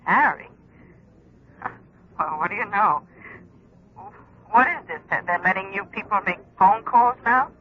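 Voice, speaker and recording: An actress playing a sour, sceptical convict's wife in a radio drama, sardonic and incredulous. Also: female voice, mid-range voice, expressive, measured pace, pauses often, natural voice. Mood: sardonic surprise. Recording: dull telephone-filtered old radio sound.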